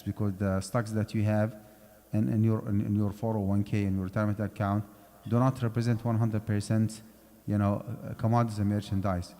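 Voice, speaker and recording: A man's voice: fairly low pitch, warm tone. A man giving a conversational lecture on Islamic finance in a mosque, explaining step by step and checking in with his listeners. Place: mosque prayer hall. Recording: long hall reverb.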